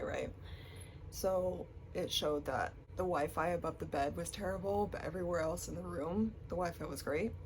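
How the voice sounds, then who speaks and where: A woman's voice: fairly low pitch, a little hiss or hum, poor audio. A young woman with a stuffy, congested voice from a cold, chatting casually to her camera with lots of likes and ums. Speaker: vlogger.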